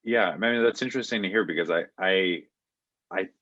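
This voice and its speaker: Man, very deep voice, casual interviewer, dry muffled sound. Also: fairly quick, fairly bright tone, dry recording. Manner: casual, thinking aloud with many likes and rights. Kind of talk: conversational interview questions.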